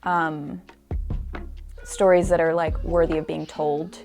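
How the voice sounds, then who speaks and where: A woman's voice: sits low, little pitch movement, slow pace, darkish tone, faint music behind. A woman in her twenties, a guest actor talking casually on a podcast, chatty and full of restarts and fillers. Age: 20s. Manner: chatty, trailing restarts and many likes.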